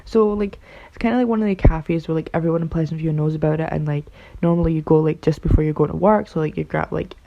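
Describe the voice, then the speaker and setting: A woman with a raspy voice from being ill, rambling through casual voice-over commentary on a game build, full of fillers and unsure asides. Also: low voice, fairly even intonation, fast, few pauses. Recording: dull lo-fi recording.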